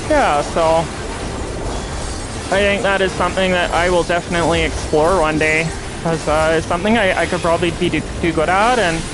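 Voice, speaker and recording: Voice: medium pitch, fairly even intonation, normal speed, noisy background. A game streamer with a nasal voice, chatting casually while playing. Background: music.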